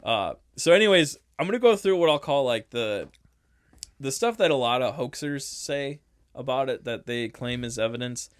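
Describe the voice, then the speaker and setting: A man's voice: mid-range voice, animated, moderate pace, clear, resonant tone, no room sound, clean studio sound. A young man co-hosting a casual podcast, joking around and laughing often. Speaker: podcast co-host. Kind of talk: casual chat.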